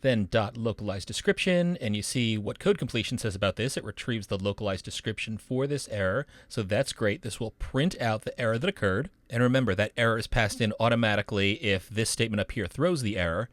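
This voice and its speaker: A man's voice: on the low side, fairly quick, few pauses, dry recording, clean studio sound. A man narrating a coding tutorial as an instructor, in an upbeat, encouraging, instructional manner. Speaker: programming instructor, university professor.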